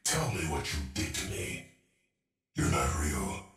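An actor playing a vengeful, paranoid cyborg assassin in a video game, menacing and unhinged, muttering through robotic processing. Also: male voice, rumbling low, sing-song, measured pace, fairly bright tone, full character voice. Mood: haunted, confused. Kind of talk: video game character voice lines. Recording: roomy, distant, music behind.

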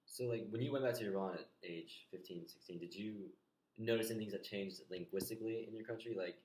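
Young man, interviewer, fast curious questions, lowish voice, echoey bedroom. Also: a little mellow. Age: young adult. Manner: curious, quick follow-up questions. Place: small bedroom, indoors. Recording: echoey room.

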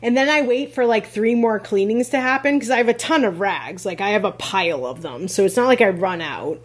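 Husky-voiced chatty woman podcaster. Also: mid-range voice, fairly quick. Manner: chatty, many "like" fillers, laughs often. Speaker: podcast co-host, rental host. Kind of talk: casual podcast chat.

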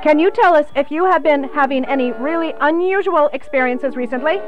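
A woman's voice: high voice, brisk, lo-fi sound, faint music behind, lightly acted. A woman acting in a radio play as a formal, insistent saleswoman and interrogator with stilted phrasing. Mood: probing. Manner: formal, stilted, avoiding contractions.

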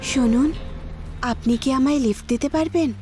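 A woman's voice: high voice, normal speed, dark tone, faint music behind, natural voice. A woman voicing a young female ghost witch in a horror cartoon, coaxing and ominous.

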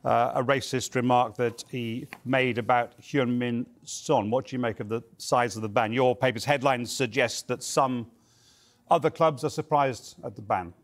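A man presenting a sports news show, reading links in a crisp, polished way. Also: medium pitch, steady tone, moderate pace. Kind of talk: scripted TV presenting.

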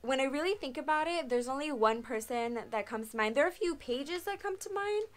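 Young woman, twenties, casual vlogger, slightly high voice, chatty and rambling, webcam sound. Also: lively intonation, fairly quick, faint music behind. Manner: chatty, frequent "um" and "like", laughs often. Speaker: car vlogger answering viewer questions. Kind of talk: casual Q&A vlog. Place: small bedroom, indoors.

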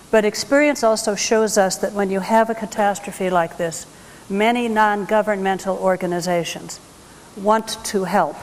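An older woman speaking as a panelist on a conference stage, measured and articulate. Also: medium pitch. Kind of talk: panel discussion remarks. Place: large conference hall stage. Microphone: lapel. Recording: long hall reverb.

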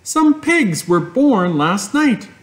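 A man's voice: extremely high pitch, sing-song, slow, pitched above the performer's own voice, exaggerated voice. A man voicing a practical farm mother who speaks firmly and matter-of-factly. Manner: firm, matter-of-fact.

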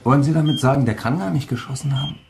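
An actor playing a blunt, impatient German investigator in a radio crime drama. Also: male voice, mid-range voice, very fast, vocal fry, darkish tone, slight background noise, natural voice. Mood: probing.

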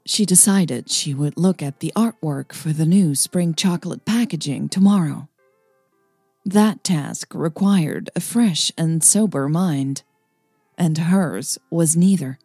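A woman narrating her own romantic story in a calm, even reading style. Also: low voice, takes it slowly, slightly rough, no room sound, soft background music. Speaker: podcast host and storyteller.

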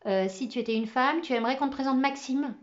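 Woman doing a wry dismissive mum, storybook reading, high voice, muffled sound. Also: fast talker, natural voice. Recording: slightly muffled recording.

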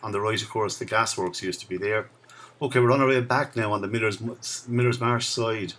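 A man in his sixties talks his way along a riverside path, recalling old places in a relaxed, chatty way. His voice sits fairly low. He records on a camera mic outdoors, with a faint hum of town ambience behind him. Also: brisk. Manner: chatty, reminiscing as he walks. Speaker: video blogger. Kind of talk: casual walking commentary.

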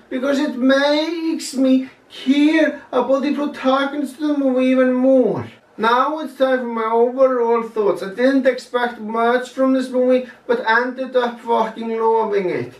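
Young male vlogger, plain-spoken film reviewer, curses casually; recorded at a distance in a roomy space. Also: very high voice. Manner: blunt, swears freely, measured delivery. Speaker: movie reviewer. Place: small plain room indoors, seated.

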